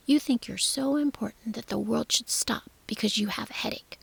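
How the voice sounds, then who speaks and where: A woman's voice: a little high, moderate pace, creaky, slightly rough, no room sound, full character voice. A woman voicing a stubborn, blunt young girl who scolds in a clipped whisper. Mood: scolding.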